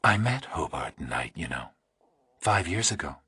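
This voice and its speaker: A man voicing a serious, matter-of-fact female investigator with a husky voice in a thriller audiobook. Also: extremely low pitch, big pitch swings, moderate pace, pushed and bright, no room sound, full character voice. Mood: questioning.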